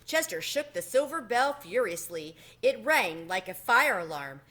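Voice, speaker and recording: A woman reading a children's story aloud in an expressive narrating style. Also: mid-range voice, measured pace. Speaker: storybook reader.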